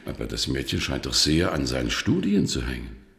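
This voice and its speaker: An actor playing a worldly, evasive medieval bishop with an unctuous, formal manner in a radio drama. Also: male voice, wildly swooping pitch, a little fast, a bit bright, exaggerated voice. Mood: courteous, guarded. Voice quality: gravelly, very deep. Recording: reverberant stone-hall sound.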